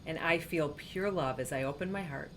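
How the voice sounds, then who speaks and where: Deep-voiced woman, soft affirmations. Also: normal speed, rough recording. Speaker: meditation guide. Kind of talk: guided affirmation meditation. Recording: faint background rumble.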